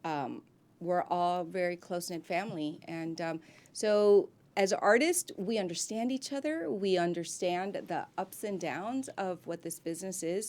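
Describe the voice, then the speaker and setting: Effusive woman chatting with many ums. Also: medium pitch. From grew up in Miami, United States. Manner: warm, effusive and talkative, with frequent ums and restarts. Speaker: actress guest. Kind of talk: casual interview chat.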